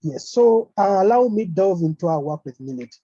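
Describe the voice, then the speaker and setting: A man's voice: sits high, lively intonation, normal speed, mellow, no room sound. A man from Uganda giving an online conference presentation, talking hesitantly with many fillers over a video call. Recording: dull, lo-fi call audio.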